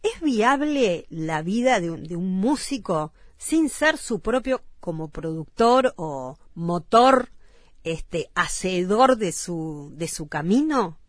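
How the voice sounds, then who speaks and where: A woman's voice: on the low side, very animated, slow, no echo, clean studio sound. A woman radio host with a Uruguayan Spanish accent, talking casually with a guest and filling in with frequent "ujú" and "claro".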